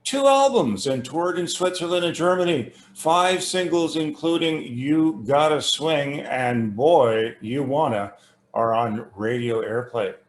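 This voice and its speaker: Male interview host, unhurried, rambling and halting, roomy echoing call audio. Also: a little high, expressive. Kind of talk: conversational interview, introduction read from notes. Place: remote internet video call.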